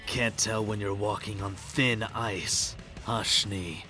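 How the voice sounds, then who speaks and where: A man playing a bitter, grieving, middle-aged hard-drinking huntsman in a fan comic dub, with a gravelly, raspy voice and a mocking, menacing drawl. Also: lowish voice, steady tone, slow, pushed and bright, faint music behind. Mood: cold, mocking.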